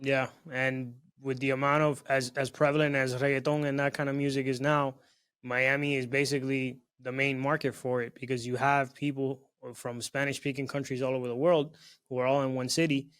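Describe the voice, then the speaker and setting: A young man from South Florida hosting a podcast, talking in a relaxed, chatty way with lots of "bro" and "you know what I mean.". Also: mid-range voice, a little fast, smooth. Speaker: podcast host. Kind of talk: casual chat.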